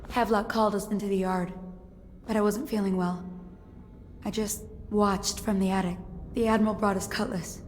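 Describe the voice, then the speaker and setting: A woman's voice: mid-range voice, fairly even intonation, normal speed, darkish tone, natural voice. Woman as a shaken servant in long reverb. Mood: shaken, sombre. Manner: shaken, earnest recounting. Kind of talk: dramatic video game acting. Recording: long reverb, faint noise.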